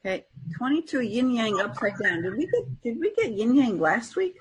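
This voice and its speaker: A woman co-hosting a casual, conversational spiritual discussion podcast, with a slightly husky voice. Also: on the high side, big pitch swings, moderate pace, low-quality recording. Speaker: podcast co-host.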